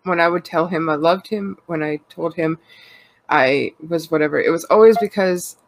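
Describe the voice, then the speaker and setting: A Canadian woman talks openly in a loose, rambling way, full of fillers and quick laughs. Her voice sounds stuffed up from a cold, with a gravelly fry running under it. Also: fairly low pitch, no echo, low-quality recording. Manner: rambling and candid, laughs often, many fillers. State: congested with a cold. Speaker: podcast co-host. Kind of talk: casual personal chat.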